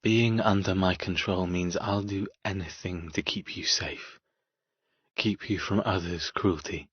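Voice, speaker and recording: Dark-romance audiobook captor: low, breathy-rough, soft-spoken, possessive, deliberate. Close dry recording. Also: male voice, steady tone, dry recording, muffled recording. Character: possessive, intense man tending his wounded captive woman. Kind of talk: audiobook character dialogue.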